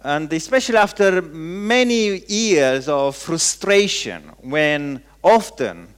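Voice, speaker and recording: A male pastor from Romania with a Romanian accent, preaching a sermon in emphatic, halting phrases and repeating words for weight. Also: on the high side, sing-song, clear, resonant tone.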